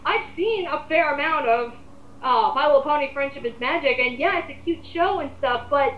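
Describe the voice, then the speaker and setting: High-voiced young woman, echoey muffled audio. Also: lively intonation, normal speed. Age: 20s. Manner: rambling, blunt, emphatic. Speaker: host leading a group discussion. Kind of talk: casual opinionated commentary chat.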